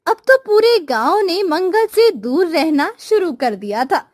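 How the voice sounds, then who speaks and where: A woman's voice: very high voice, lively intonation, measured pace, darkish tone, no room sound, clean studio sound. A woman narrating a scripted cartoon moral story.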